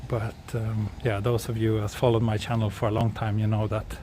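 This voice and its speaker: A man from Sweden, speaking English as a second language, hosts a relaxed live walking stream outdoors and chats with viewers as he goes. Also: on the low side, brisk, a little hiss or hum. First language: Swedish. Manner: relaxed, answers viewer chat while walking. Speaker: vlogger walking through a city. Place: outdoors on city streets.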